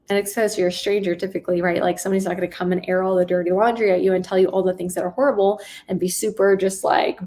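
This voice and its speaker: Soft-spoken woman sales coach. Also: medium pitch. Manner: soft-spoken, empathetic, frequent "right?" tags. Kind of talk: teaching with role-play demos.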